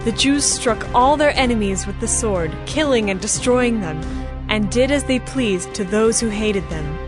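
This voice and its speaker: A woman's voice: fairly high pitch, lively intonation, unhurried. Woman narrating scripture over music. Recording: music bed behind the voice.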